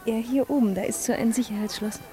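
Woman acting coquettish young society girl, really high voice, old 50s radio drama with music behind. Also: quick pace, close to an everyday voice. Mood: coy. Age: young adult. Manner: coquettish, playful. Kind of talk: 1950s German radio play acting.

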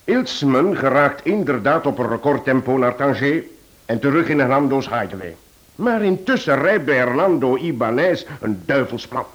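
An actor plays a genial male detective in a radio play. He has a medium-pitched voice and sets out a smuggling case in a measured, explanatory way. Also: very animated, lo-fi sound, lightly acted. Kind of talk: Dutch radio play acting.

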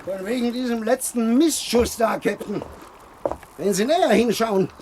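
An actor playing a grumbling, cautious sea dog on a pirate ship in a radio play, blunt in manner. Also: male voice, very high-pitched, normal speed, darkish tone, a little hiss or hum. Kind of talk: German radio play acting.

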